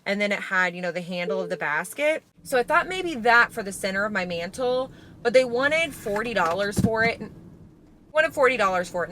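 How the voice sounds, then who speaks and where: A woman chats about her shopping finds in a loose, rambling way, often stopping to correct herself. Her tone is bright and forward, with a slight hoarseness from allergies. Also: medium pitch, a little hiss or hum. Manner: chatty, rambling, self-correcting. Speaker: vlogger. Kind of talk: casual shopping haul vlog.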